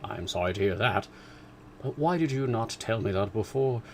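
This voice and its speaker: A sardonic older father who teases his family with perfect composure, as a male reader plays him. The voice sits low and level, close to the reader's own, with a dry ironic edge. It suits a storybook reading. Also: animated, normal speed, a little hiss or hum. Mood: mock sorrow.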